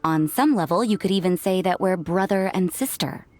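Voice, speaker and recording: A woman's voice: on the low side, lively intonation, moderate pace, a little mellow, soft background music, natural voice. A woman playing a cool, composed, wry fighter with a teasing manner in a video game. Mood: amused, teasing.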